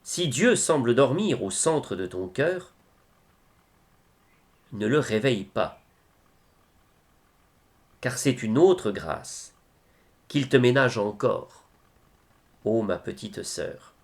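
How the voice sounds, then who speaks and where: A man reciting devotional poetry in a solemn style, with measured, expressive phrasing and pauses. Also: medium pitch.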